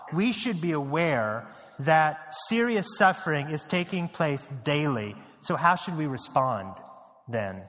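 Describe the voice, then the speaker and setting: A male pastor delivers a sermon with an earnest, steady conviction, repeating key lines and sinking low and quiet when the subject turns grave. His voice sits a little high for a man. The sound carries the long reverb of a church sanctuary. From United States.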